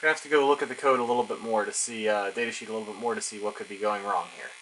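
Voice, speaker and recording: A man's voice: fairly low pitch, fairly even intonation, racing, a bit bright, poor audio. Casual man thinking aloud, some background noise. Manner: informal, musing to himself while working. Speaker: electronics hobbyist narrating his build. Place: cluttered indoor electronics workbench.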